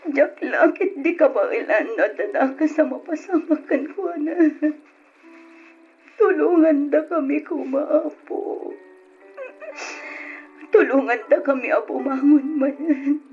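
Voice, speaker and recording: A woman's voice: high voice, slow, pauses often. An actress in an Ilocano radio drama playing a distraught, pleading mother with a tearful delivery. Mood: pleading prayer. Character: distraught, pleading, prayerful mother of a troubled daughter. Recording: dull radio drama recording over music.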